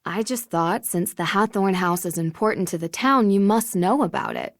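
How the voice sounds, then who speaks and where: An actress voicing a polite, inquisitive young woman detective in a video game, asking in a measured, probing way. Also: mid-range voice, no room sound, studio quality, natural voice.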